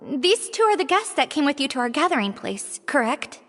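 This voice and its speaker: A woman's voice: high voice, big pitch swings, normal speed, a bit bright, long reverb tail. An actress voicing a frightened young woman who pleads anxiously and apologizes.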